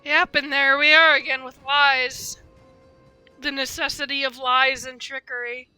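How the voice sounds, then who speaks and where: A woman's voice: high-pitched, level tone, measured pace, bright, forceful tone, no echo, low-quality recording. A woman streaming live commentary on a story game, musing in a rambling, enthusiastic fan's way. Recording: faint game music behind.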